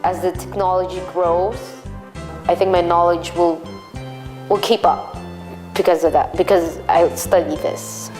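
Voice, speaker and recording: A woman's voice: lowish voice, little pitch movement, unhurried, a little mellow, soft background music. A woman student from the Philippines talking casually in an interview, chatty with some restarts.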